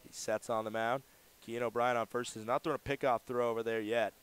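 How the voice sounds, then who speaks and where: Male baseball radio announcer, mid-pitched, smooth and crisp, live play-by-play. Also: steady tone, brisk. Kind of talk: live sports commentary.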